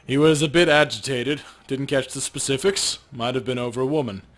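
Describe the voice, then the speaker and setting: A man's voice: medium pitch, fairly quick, fairly bright tone. A man co-hosting a let's play, chatting casually and reading game text aloud.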